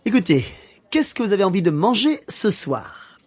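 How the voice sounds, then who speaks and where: A man's voice: sits high, very animated, moderate pace, darkish tone. A man playing a genial, teasing French father in a scripted language-learning radio play.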